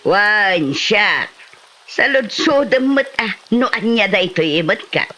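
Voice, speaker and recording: Village moneylender played by a woman, Ilocano comedy drama: animated, bright, swooping delivery, neighbourly and proud. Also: medium pitch, slow. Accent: Filipino, Ilocano.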